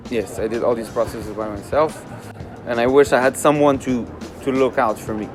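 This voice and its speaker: A man's voice: mid-range voice, fairly even intonation, moderate pace. A man from Morocco in his twenties, answering interview questions in a candid, easygoing way with frequent fillers. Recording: music under voice.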